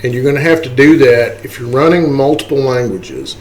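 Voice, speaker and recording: Faint ambient noise sits behind a man's mid-pitched voice. He talks a viewer through software in a casual way, one step at a time. He often stops to check in, like an informal presenter recording his screen. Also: animated, fairly quick, low-quality recording. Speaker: tutorial presenter. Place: indoors, voice-over for a screen recording.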